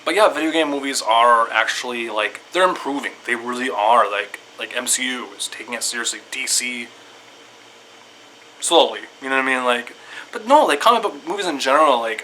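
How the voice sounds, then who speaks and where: A man giving casual, rambling opinions to viewers in an excitable fan's manner, often repeating himself. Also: mid-range voice, slight background noise, low-quality recording. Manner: excitable fan talk, repetitive, loosely structured. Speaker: video creator giving opinions.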